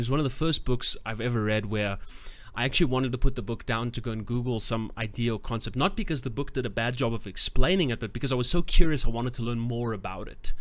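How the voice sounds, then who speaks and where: A man's voice: medium pitch, fairly bright tone. A man giving a casual book review to camera, thoughtful and searching for words, growing enthusiastic.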